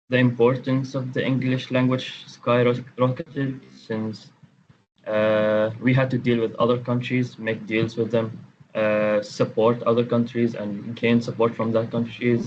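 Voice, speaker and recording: A man, an Arabic speaker, answering interview questions in a calm, measured way. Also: medium pitch, slight background noise. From Sudan. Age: 30s. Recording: dull lo-fi audio.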